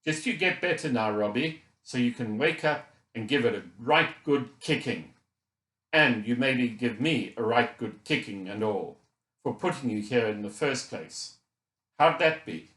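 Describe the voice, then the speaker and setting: A man's voice: medium pitch, lively intonation, a little slow, a bit bright, reverberant. Man doing a remorseful, wobbly-voiced Scottish father. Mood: kind, apologetic. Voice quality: wobbly, unsteady. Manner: earnest, apologetic. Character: guilt-ridden, kind Scottish father, remorseful driver. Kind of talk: audiobook character dialogue.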